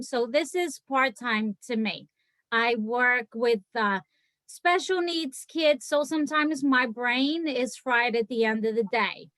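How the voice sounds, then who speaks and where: Portuguese-accented woman, 40s, unhurried, dry home-room video call. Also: sits high, pushed and bright, dry recording. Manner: chatty, laughs often, many fillers. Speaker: guest speaker on a team video call. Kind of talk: casual personal story.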